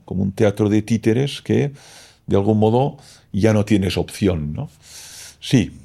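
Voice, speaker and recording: A man's voice: on the low side, vocal fry, mellow. A man whose first language is Catalan, a philosopher guest talking through ideas in a thoughtful, explanatory way and often ending phrases with a questioning tag. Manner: thoughtful, explanatory, keeps tagging "¿no?". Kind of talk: reflective interview talk.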